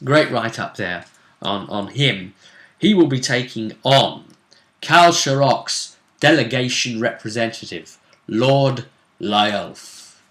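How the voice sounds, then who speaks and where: A man with a bright, forward voice acts as game master at a tabletop session. His delivery is casual and halting as he deliberately lays out the game for his players. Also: medium pitch, expressive, roomy sound.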